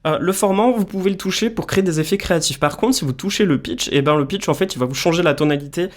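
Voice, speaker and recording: A man explaining music software step by step in a casual tutorial style. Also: high-pitched, fast. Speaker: music production tutorial presenter.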